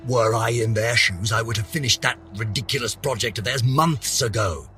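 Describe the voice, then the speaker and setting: A man's voice: medium pitch, normal speed, sharp tone, soft background music, natural voice. An actor voicing a pompous, vain older British scientist, theatrically narrating his journal for a game with haughty, florid melodrama. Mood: scheming. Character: pompous, vain, aging male scientist, indignant and obsessive.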